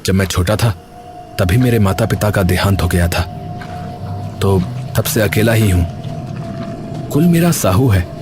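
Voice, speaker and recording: A man voicing a humble, sincere young villager in an animated story, speaking in an earnest, matter-of-fact way. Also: lowish voice, measured pace, pauses often, music in the background. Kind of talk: Hindi animated story dialogue.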